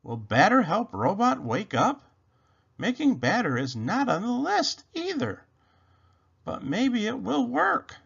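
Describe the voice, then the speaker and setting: A man voicing an excitable, fussy young male rabbit in a children's storybook, exclaiming with exasperation. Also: high voice, slow pace, gravelly, breathy edge, lo-fi sound, pitched above the performer's own voice, full character voice. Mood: worried, hopeful.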